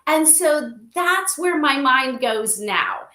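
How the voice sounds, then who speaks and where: A woman's voice: sits high, lively intonation, takes it slowly, bright, forceful tone. A middle-aged woman talking earnestly and at length in a conversational interview, sharing personal anecdotes. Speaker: guest, spirit advisor for an AI memorial company. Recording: roomy, echoey livestream sound.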